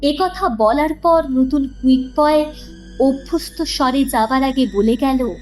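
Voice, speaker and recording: A woman narrating a scripted story in a measured, expressive storytelling manner. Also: sits high, soft background music, low-quality recording.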